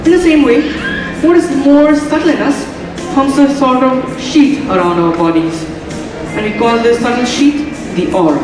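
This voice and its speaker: A young man plays an earnest young lover, explaining in a steady voice. He is heard from far away in a big echoing auditorium. Music plays behind him. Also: very high-pitched, moderate pace. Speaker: student actor in a stage play. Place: large auditorium stage. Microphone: distant stage mic.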